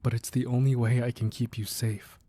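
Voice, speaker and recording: A man playing a protective, ruthless crime boss who is tender with his wife, speaking softly and earnestly in an intimate romantic ASMR roleplay. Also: medium pitch, little pitch movement, dry recording, close to an everyday voice. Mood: worried, tender. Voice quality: soft, husky, breathy. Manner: earnest, measured, firm yet affectionate.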